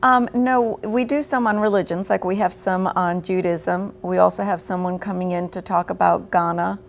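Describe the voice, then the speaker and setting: A woman guest explaining a community program in an interview, clear and full of examples. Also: fairly low pitch, fairly even intonation, moderate pace, slight background noise. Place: local TV studio.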